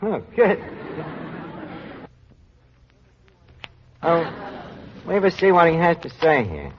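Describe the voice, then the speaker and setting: An actor playing an earnest working-class tavern manager with streetwise, ungrammatical talk in a 1940s radio show. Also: male voice, on the high side, wildly swooping pitch, moderate pace, a little hiss or hum. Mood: friendly. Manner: colloquial, streetwise, uneducated grammar. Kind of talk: 1940s radio comedy-drama acting. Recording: muffled vintage radio recording.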